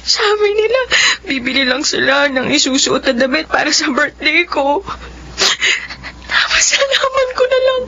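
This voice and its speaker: A woman's voice: high voice, very animated, moderate pace, sharp tone, highs cut off, slight background noise, full character voice. An actress playing a tearful young college woman who has just lost her parents, in a radio drama. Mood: grieving. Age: young adult.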